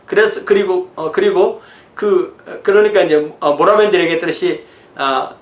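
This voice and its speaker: A man in his sixties with a slightly high voice lectures as a pastor. He explains things conversationally, moving between notes and informal asides. The sound is roomy and echoing. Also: moderate pace. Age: 60s. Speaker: pastor teaching church history.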